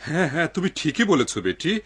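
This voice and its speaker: A man voicing a kindly, honest older father in a dubbed cartoon, expressive and husky. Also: a little high, quick pace, exaggerated voice. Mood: grateful, earnest.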